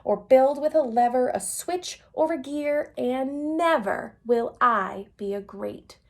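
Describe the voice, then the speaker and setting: Storybook girl inventor, young, self-doubting, dramatic despair, voiced by a woman, fairly high pitch, drawn-out pace. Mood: dismayed, despairing.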